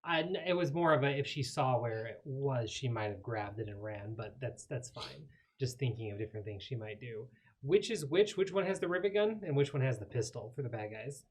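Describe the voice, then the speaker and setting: Male game player, mid-pitched, conversational, working through moves aloud a little tentatively. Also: animated, a little fast. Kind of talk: casual game table talk.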